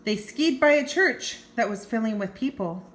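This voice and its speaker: A woman's voice: on the high side, expressive, normal speed. An older woman reading a children's story aloud as a grandmother, expressively, with chatty asides and exclamations. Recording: roomy, echoing home recording.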